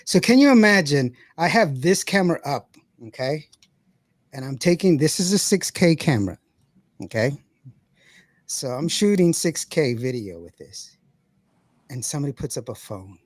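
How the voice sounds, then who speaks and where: A raspy-voiced man hosting a podcast in a casual, rambling way, often repeating words as he goes. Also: on the high side, sing-song, moderate pace, dry recording, studio quality. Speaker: podcast host. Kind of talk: casual chat.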